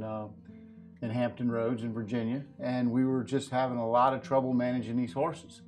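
A middle-aged American man, a guest farrier, explaining things earnestly in a wordy, halting way in an interview. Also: medium pitch, a little mellow. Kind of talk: explanatory interview talk about horse nutrition products. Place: indoor video set at a display table.

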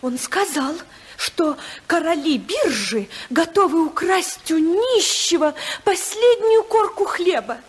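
An actress playing an anxious, naive younger sister from high society in a 1950s radio play. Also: female voice, animated, takes it slowly, heavily acted. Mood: indignant, fretful. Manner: fretful, hesitant. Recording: 1955 radio drama recording.

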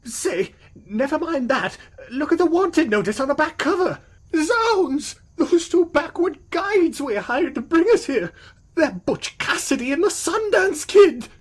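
A woman voicing a pompous, dandyish male Western lawman, theatrical and husky, in a cartoon comic dub. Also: high voice, very animated, measured pace. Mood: astonished.

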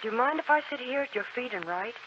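A woman's voice: fairly high pitch, animated, fast, lightly acted. A woman playing a spirited, impulsive young sister in 1940s radio acting. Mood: gentle. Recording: noisy, dull 1940s radio recording.

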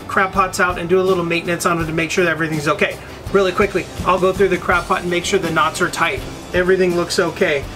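A man in his thirties hosting a casual fishing vlog on an outdoor porch, explaining gear in a relaxed way with frequent ums. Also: high voice. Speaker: fishing channel host.

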